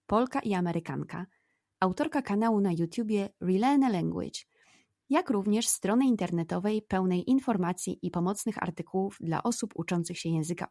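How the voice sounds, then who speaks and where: A woman's voice: lowish voice, darkish tone, dry recording, clean studio sound. A woman hosting a podcast, a native Polish speaker, asking friendly questions. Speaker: podcast host. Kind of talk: podcast intro and interview.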